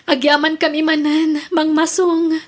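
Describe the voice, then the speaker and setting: A woman's voice: sits high, level tone, a little slow, over music. A woman playing an anxious, emotional daughter in a radio drama, speaking with earnest feeling. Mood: grateful. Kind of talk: Ilocano radio drama acting.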